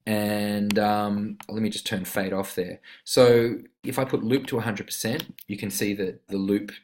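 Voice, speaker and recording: A man giving a casual, step-by-step music software tutorial in an explanatory way. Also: on the low side, steady tone, measured pace, a little hiss or hum. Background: musical instrument notes from demonstrations.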